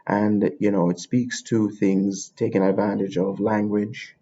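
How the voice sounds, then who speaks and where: A man's voice: fairly low pitch, little pitch movement, unhurried, dark tone. A man from Jamaica, hosting a podcast and explaining ideas in an unscripted monologue, thinking aloud and circling back over his words. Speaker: podcast host. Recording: dull lo-fi recording.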